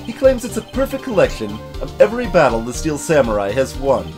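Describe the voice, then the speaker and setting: A young defense lawyer pressing his case in a courtroom game, acted by a man. He is earnest and dramatic with very lively intonation, over clear background music. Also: mid-range voice, fairly quick. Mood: explaining.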